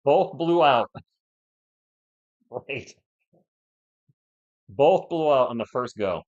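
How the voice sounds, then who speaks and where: A man's voice: mid-range voice, expressive, extremely slow, highs cut off. A man hosting a casual live stream from a home setup, chatting with viewers over a laptop mic. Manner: chatty, talks to chat, loud bursts when testing. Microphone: laptop or desk mic.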